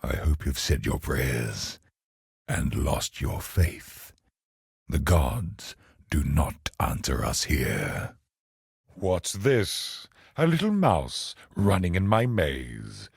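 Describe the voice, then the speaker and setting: Deep, husky, tormented scholar. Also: male voice, big pitch swings, no room sound, clean studio sound, exaggerated voice. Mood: menacing. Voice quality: husky, gravelly, breathy. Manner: solemn, theatrical, deliberate delivery. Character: tormented, godlike older male scholar, grieving father turned menacing. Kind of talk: dramatic scripted game monologue.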